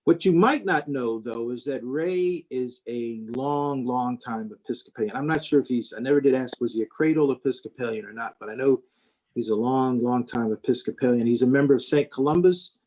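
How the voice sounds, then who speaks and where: A man hosting a talk, introducing a guest speaker informally, halting between phrases. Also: mid-range voice, darkish tone.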